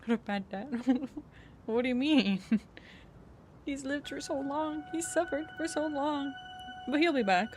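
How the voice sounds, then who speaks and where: A woman streamer reacting casually to a game, playful and quick with quips. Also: high voice, darkish tone, slight background noise. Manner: playful, quippy. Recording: game music behind the voice.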